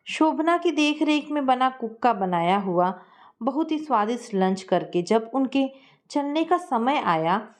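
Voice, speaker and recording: Woman narrating a family story. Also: fairly high pitch. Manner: steady, measured reading.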